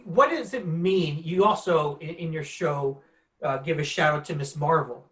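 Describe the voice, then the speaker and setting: Male podcast host, slightly high register, casual conversational interviewing. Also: normal speed, fairly bright tone.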